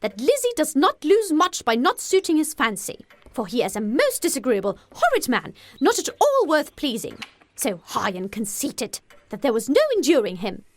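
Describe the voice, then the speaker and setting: Woman acting a shrill, gushing society mother. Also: wildly swooping pitch, normal speed, heavily acted. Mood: indignant. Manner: breathless, exclamatory, effusive. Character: excitable, gushing, gossipy middle-aged English mother. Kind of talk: audiobook character voice.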